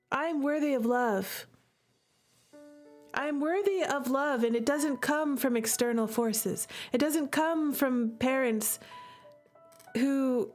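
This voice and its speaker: A woman streamer with a fairly high voice talks to her chat at a slow, unhurried pace. She is gushing and emphatic, often repeating a phrase for weight, with music playing behind her. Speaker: streamer playing a visual novel. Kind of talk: livestream commentary, chatting with viewers.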